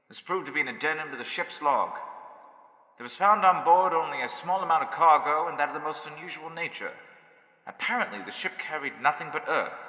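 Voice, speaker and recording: An actor playing a solemn newspaper correspondent, narrating a radio horror drama in a theatrical, measured, ominous way. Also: male voice, fairly high pitch, bright, forceful tone. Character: solemn male newspaper correspondent reporting eerie events. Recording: echoey hall-like reverb.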